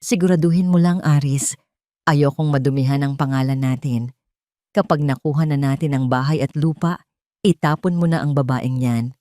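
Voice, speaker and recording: A woman's voice: low voice, fairly even intonation, moderate pace, warm tone, dry recording, voice pushed down, lightly acted. A woman plays a cold mother-in-law from a melodramatic audio story. She speaks with open contempt and mockery in a close, dry recording. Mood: contemptuous. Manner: mocking. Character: scornful, scheming mother-in-law, shrill and cold.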